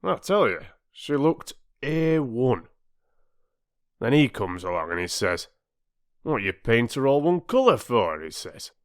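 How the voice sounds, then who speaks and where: A man voicing a gruff grown bargeman telling of his own wrongs in rough dialect. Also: mid-range voice, big pitch swings, a little slow, voice pushed up. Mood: aggrieved. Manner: rough dialect, grumbling complaint.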